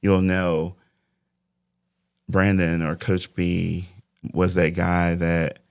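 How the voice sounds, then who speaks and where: Deep gravelly man in his forties. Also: completely flat delivery, very slow, no echo, clean studio sound. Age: 40s. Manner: reflective, faith-driven talk. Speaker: interview guest, life coach. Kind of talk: casual interview conversation.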